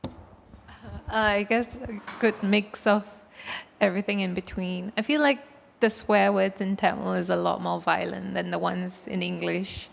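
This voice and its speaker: A woman's voice: medium pitch, level tone, a little slow, creaky, a little hiss or hum. A woman from Singapore on a panel, talking casually with many fillers. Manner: casual, filler-heavy, informal. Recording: reverberant hall.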